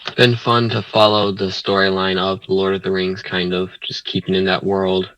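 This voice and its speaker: A man's voice: deep voice, little pitch movement, normal speed, a bit bright, highs cut off, slight background noise. A man chatting casually as one of the players in a tabletop roleplaying game. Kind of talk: casual chat.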